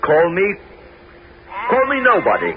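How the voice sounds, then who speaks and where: A man's voice: high-pitched, huge pitch swings, measured pace, exaggerated voice. Ulysses, a cunning hero king. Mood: scheming. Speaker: narrator and lead character. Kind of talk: 1970s radio drama acting. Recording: dull old radio broadcast with loud hiss.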